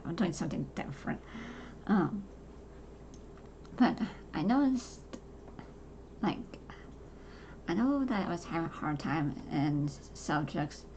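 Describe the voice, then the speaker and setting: Woman in her forties, soft low gravelly voice, halting personal chat, faint noise behind. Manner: halting, many fillers and restarts. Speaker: podcast host. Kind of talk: casual personal talk to camera.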